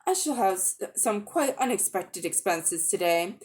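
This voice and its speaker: A foolish, vain old gentleman from a storybook, acted out by a woman reader. He speaks slowly and pompously, stretching his words and swinging up and down in pitch as he wheedles. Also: lively intonation, close to an everyday voice. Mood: wheedling. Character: vain, pompous old man, a foolish upper-class magician uncle. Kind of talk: dramatic audiobook reading.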